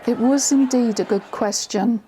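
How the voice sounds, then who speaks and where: An actress playing a woman who narrates events she witnessed, in measured, suspenseful audio drama style. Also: on the high side, dark tone, a faint crowd, slight background noise, close to an everyday voice, rough recording. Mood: suspenseful narration. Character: female storyteller recalling a tense witnessed drama. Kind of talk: scripted first-person drama narration.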